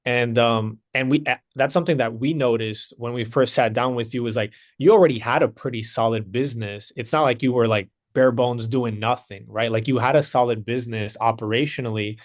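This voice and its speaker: A man hosting the interview as a consultant, with an ordinary mid-range voice. He speaks in a measured way, sums up what he has heard, then poses long questions with several parts. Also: mid-range voice. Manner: measured, summarizing, asks long multi-part questions.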